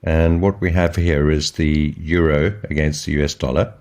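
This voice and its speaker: A man giving informal, explanatory market commentary as a trading educator, in a measured, step-by-step way. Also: rumbling low, fairly even intonation, darkish tone. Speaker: trading educator, channel host.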